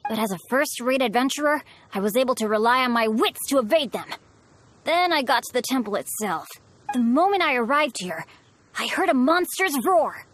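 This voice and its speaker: A woman voicing an earnest, excitable teenage boy adventurer in a video game, boastful yet kind, with a boyishly dramatic delivery. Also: high voice, moderate pace, a little hiss or hum. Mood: boastful storytelling.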